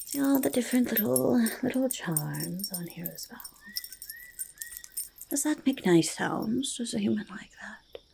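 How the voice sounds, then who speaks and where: A woman voicing a curious, friendly forest goblin in a soft ASMR roleplay, speaking haltingly in breathy whispers and creaky murmurs, repeating words. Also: fairly high pitch, animated, a little hiss or hum, exaggerated voice. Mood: pleased, eager. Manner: halting, third-person speech with doubled words. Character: curious, eager, friendly forest goblin who hoards treasure.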